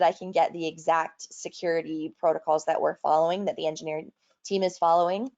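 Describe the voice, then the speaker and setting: A woman presenting a software walkthrough in an online training, explaining in a businesslike way. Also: lowish voice. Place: online video call or webinar. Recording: muffled, lo-fi online call recording.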